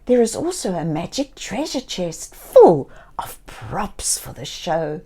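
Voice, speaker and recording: A woman reading a children's story aloud in a gentle, theatrical way, speaking directly to young listeners. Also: lowish voice, very animated, slow pace, breathy edge. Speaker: children's story reader and host.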